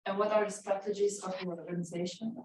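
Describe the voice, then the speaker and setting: A woman's voice: mid-range voice, fairly even intonation, fast talker, darkish tone. A woman in the audience asking the speaker questions, hesitant and filling gaps with "um". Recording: distant voice in an echoey room.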